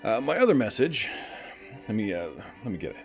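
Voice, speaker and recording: A man's voice: lowish voice, sing-song, brisk, vocal fry, faint music behind. A man playing a chatty small-town morning radio host in a post-apocalyptic audio drama, talking straight to his listeners in a folksy way. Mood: wry, flustered. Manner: conversational, addresses listeners directly. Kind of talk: scripted radio show monologue, folksy.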